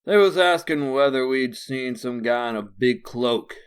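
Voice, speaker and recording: Slow, slangy, friendly street bum played by a man. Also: medium pitch, lively intonation, smooth, close to an everyday voice. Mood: chatty, amused. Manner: slangy, rambling, folksy. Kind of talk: comic dub voice acting.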